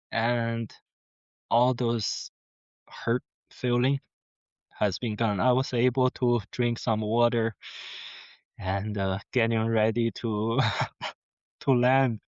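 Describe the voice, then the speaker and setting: A man with a Mandarin Chinese accent, a native Chinese speaker, earnestly telling his personal story as a podcast guest in halting phrases with restarts. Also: mid-range voice, fairly even intonation, no room sound. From China. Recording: slightly dull lo-fi sound.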